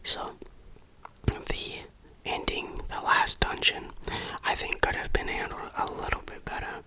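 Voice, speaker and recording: A person whispering an informal, opinionated ASMR game review in a breathy, unvoiced voice. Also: measured pace.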